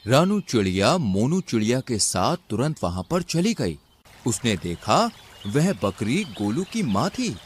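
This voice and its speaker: Male storyteller, medium pitch, lively intonation, scripted cartoon fable narration, faint background noise. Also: a little slow.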